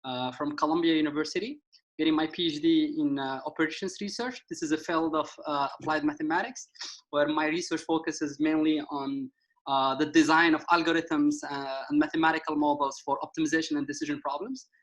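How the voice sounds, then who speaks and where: A man's voice: a little high, steady tone, brisk, poor audio. A young man from Morocco speaking as a guest on a radio talk show, precise and full of hesitations. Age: young adult. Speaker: guest, PhD graduate. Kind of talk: casual chat.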